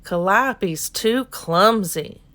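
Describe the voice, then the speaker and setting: A woman's voice: mid-range voice, lively intonation, drawn-out words, fairly bright tone. A woman voicing plainspoken, skeptical male ranch hands in a children's storybook, with a blunt, folksy delivery. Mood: dismissive. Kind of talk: children's storybook character reading.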